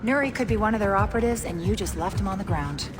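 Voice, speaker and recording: An actress playing a stern, cautious intelligence officer in a video game, speaking firmly and reprovingly. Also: female voice, mid-range voice, lively intonation, fast, in a large hall, over music, close to an everyday voice. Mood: disapproving. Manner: firm, reproving. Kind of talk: video game cutscene acting.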